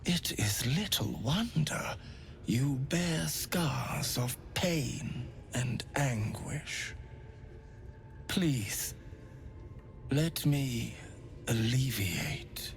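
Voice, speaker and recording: An actor playing a serene, fervently devout priest of a pain goddess in a video game, with theatrical, courtly tenderness and a breathy voice that slips into whispers. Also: male voice, mid-range voice, animated, extremely slow, pauses often, slight background noise, exaggerated voice. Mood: soothing, intimate. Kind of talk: video game character acting.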